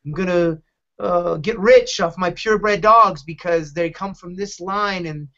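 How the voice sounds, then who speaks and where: A middle-aged man hosting a podcast, telling personal stories casually in a halting, candid, rambling way. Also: high voice, fairly bright tone. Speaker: podcast host, dog advocate. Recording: dull lo-fi podcast audio.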